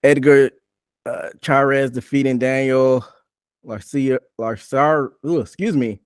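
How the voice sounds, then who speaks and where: A man's voice: medium pitch, steady tone, slow pace, smooth, dry recording. Casual male podcast host, close dry sound. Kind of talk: casual sports recap talk.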